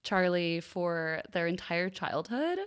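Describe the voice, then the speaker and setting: A woman's voice: lowish voice, lively intonation, slow, clean tone. A woman co-hosting a podcast in casual chat, telling anecdotes in an informal way with lots of filler "like". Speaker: podcast co-host.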